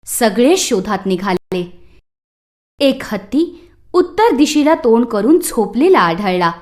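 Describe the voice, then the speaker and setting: A woman narrating a scripted mythological story for children in an expressive, dramatic way. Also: on the high side.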